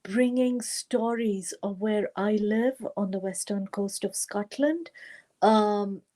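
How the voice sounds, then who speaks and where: A woman from western India with an Indian accent, a storyteller introducing a tale online in measured phrases with long pauses. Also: mid-range voice, mellow.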